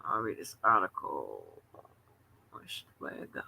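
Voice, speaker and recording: A woman's voice: rumbling low, big pitch swings, a little slow, creaky, background noise. A woman in her seventies hosting a casual late-night livestream, talking in a rambling, chatty way with salty slang. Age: 70s. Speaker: livestream host.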